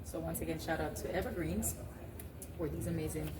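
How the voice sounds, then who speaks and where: Woman hosting, lower voice, roomy sound. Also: a little fast, very noisy. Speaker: talk show host. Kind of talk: conversational podcast interviewing. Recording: roomy, distant mic, music behind.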